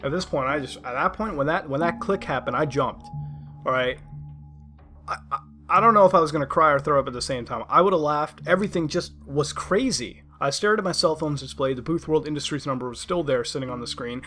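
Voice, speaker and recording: A man narrating a first-person horror story in a dramatic yet conversational way, with halting asides. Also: fairly high pitch, sharp tone, soft background music. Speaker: creepypasta narrator.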